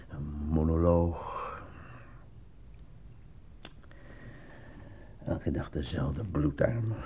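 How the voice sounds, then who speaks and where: An actor playing a frustrated, embittered film actor and defensive husband in a theatrical, exasperated radio drama style. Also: male voice, extremely low pitch, a little hiss or hum, exaggerated voice. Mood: weary, bitter. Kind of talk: Dutch radio drama acting. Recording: muffled old radio play recording.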